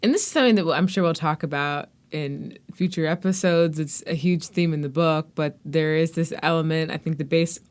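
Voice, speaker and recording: A woman's voice: low voice, brisk, hardly any pauses, darkish tone. A woman, talking casually and conversationally as a podcast host and interviewer.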